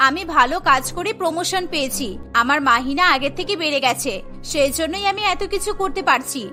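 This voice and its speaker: Lady doing a cartoon young wife, really high bright voice, brisk and reassuring. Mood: reassuring, evasive. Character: capable, reassuring young wife and daughter-in-law. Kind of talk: Bengali cartoon dialogue. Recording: music behind the voice. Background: music.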